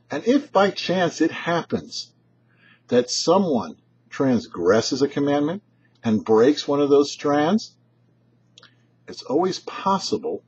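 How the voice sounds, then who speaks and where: Male rabbi, show host: markedly husky voice, animated pitch, didactic measured reading of religious commentary, slightly muffled lo-fi sound. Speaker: rabbi, host of a weekly Torah portion show. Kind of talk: short scripted religious commentary, read aloud. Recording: dull, lo-fi, muffled audio.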